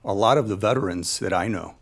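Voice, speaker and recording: Man, lowish voice, veteran leader, earnest public remarks, deliberate phrasing, emphatic repetition. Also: gravelly. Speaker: military veteran and former troop leader. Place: live event space at a panel discussion.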